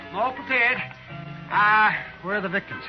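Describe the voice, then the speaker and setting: Fussy, very high-voiced frontier doctor, acted by a man. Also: moderate pace, music in the background, lightly acted. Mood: eager. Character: fussy, excitable, morbidly eager frontier doctor. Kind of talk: 1950s radio western acting. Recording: dull old radio recording.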